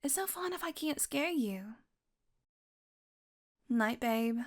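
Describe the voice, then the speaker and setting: Casting: actress for female vampire, wry and teasing, higher-pitched voice, unhurried delivery, intimate audio drama. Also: animated. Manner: sardonic, teasing, casual, swearing. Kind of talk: intimate audio roleplay acting.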